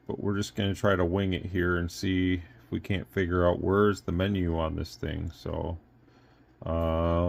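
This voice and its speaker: A man's voice: low-pitched, gravelly, darkish tone. A man giving a casual, unscripted product demonstration as a reviewer and seller, rambling and thinking aloud as he goes.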